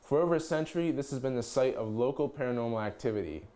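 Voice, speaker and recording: Give the voice of a male television news reporter. He delivers scripted narration and stand-up reports in a mid-pitched voice. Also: expressive, fast talker, mellow.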